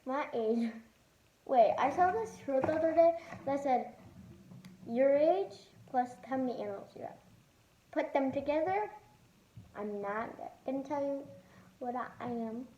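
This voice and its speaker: Young female, slow halting read-aloud, casual asides, repeated words, roomy echo, faint background noise. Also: high voice, expressive, warm tone, highs cut off. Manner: halting reading, stumbling over and repeating words. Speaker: host of a read-aloud channel. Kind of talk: reading a children's novel aloud with casual asides.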